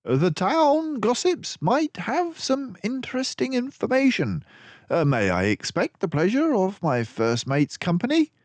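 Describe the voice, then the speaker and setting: A man voicing a brisk, confident, jaunty gentleman detective mouse from a children's storybook, with theatrical self-assurance. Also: high-pitched, sing-song, no echo, voice pushed up. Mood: brisk, eager.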